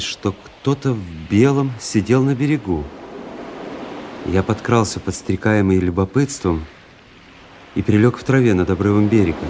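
A man's voice: lowish voice, background noise, close to an everyday voice. An actor playing an intense, introspective young officer who narrates his own adventure in a radio drama. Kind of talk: dramatic first-person narration, radio play. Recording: radio play with music underneath. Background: music.

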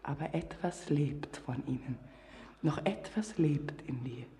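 Langsamer, geheimnisvoller Berggeist mit langem Hall. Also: female voice, deep voice, unhurried, dark tone, slight background noise. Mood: tender, stern. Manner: solemn, incantatory, often whispering. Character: mysterious, commanding queen-like spirit of the mountain depths. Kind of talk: classical verse radio drama acting. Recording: long, cavernous reverb.

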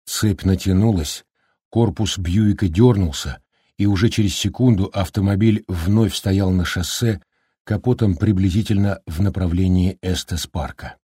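A man reading an audiobook as narrator, with even, measured storytelling. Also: low-pitched, husky, no room sound, clean studio sound. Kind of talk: scripted narration.

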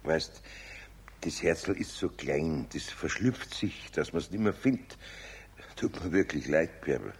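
Husky older Bavarian craftsman. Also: rumbling low, expressive, measured pace, full character voice. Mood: apologetic, gentle. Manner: colloquial, coaxing, grandfatherly. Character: good-natured older Bavarian master craftsman, patient but exasperated. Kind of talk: children's radio play acting.

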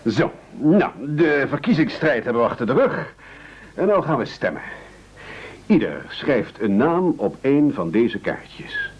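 An actor in a radio play playing a pompous, scheming leader who speechifies in formal, flowery phrases. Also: male voice, medium pitch, very animated, measured pace, husky. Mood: brisk, officious. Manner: oratorical, grandiloquent, formal. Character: pompous, scheming, silver-tongued male leader of castaways. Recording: dull, lo-fi radio play recording.

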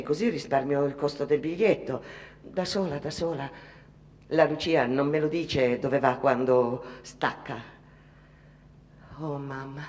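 A woman's voice: low voice, darkish tone. An actress playing a timid, devout and trusting young immigrant factory girl, hesitant and earnest as she confides in her mother. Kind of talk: theatrical radio monologue. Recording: long hall reverb, faint noise.

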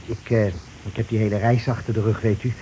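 A man's voice: lowish voice, little pitch movement, fast, mellow, close to an everyday voice. An actor in a radio play playing an anxious, hesitant visitor looking for his brother, politely stammering and repeating himself. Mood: apologetic, pleading. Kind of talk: naturalistic radio play acting. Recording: noisy old radio play recording.

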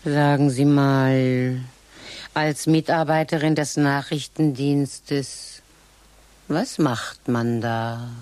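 An actress playing an odd, dreamy woman who talks slowly about a strange pregnancy in a radio play. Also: a bass voice, fairly even intonation, darkish tone, no room sound, heavily acted. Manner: slow, dreamy, scatting to herself.

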